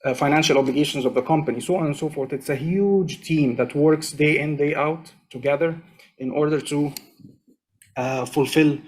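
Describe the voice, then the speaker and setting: A man speaking on an online conference panel over a video call, explaining at length in a measured way with frequent fillers. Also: on the high side, a little mellow.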